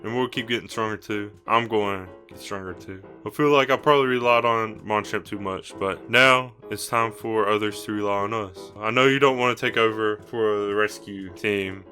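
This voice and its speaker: Man as earnest creature mentor Breloom, bright voice. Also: on the low side, normal speed, hardly any pauses, faint music behind. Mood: earnest, encouraging. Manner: earnest, encouraging. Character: earnest, sentimental fighting creature Breloom, encouraging team mentor.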